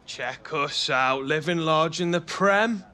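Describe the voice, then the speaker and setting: Slow, bright, cocky young footballer. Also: male voice, a little high. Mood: boastful, upbeat. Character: cocky, cheerful young male professional footballer. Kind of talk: video game cutscene acting.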